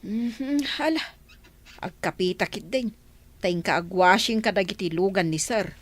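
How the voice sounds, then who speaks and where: An actress with an Ilocano accent playing a proud, moralizing older mother with a sharp tongue in a comedy radio drama. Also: mid-range voice, measured pace, lightly acted. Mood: scolding.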